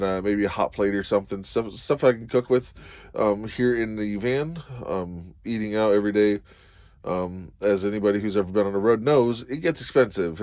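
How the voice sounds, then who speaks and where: A man with a fairly low voice talks loosely and unhurriedly, filling pauses with ums. He is recorded inside a van, and the sound is close and dry with no echo. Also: darkish tone. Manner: rambling and easygoing, many ums and you-knows. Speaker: van expediting driver vlogger. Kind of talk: casual talking-head vlog.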